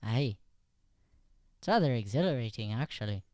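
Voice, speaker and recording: A man's voice: medium pitch, lively intonation, normal speed, darkish tone, dry recording, clean studio sound, close to an everyday voice. A man playing a curious, eager young Irish lad in an improvised radio play, speaking hesitantly and earnestly with an Irish accent. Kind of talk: improvised old-time radio play acting.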